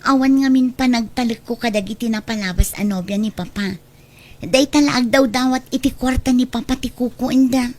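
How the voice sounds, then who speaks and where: An actress with an Ilocano accent playing a pampered, scheming young daughter who talks in a chummy, stubborn way in a radio drama. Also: female voice, a little high, normal speed, a little mellow, lightly acted. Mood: stubborn, conspiring. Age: young adult.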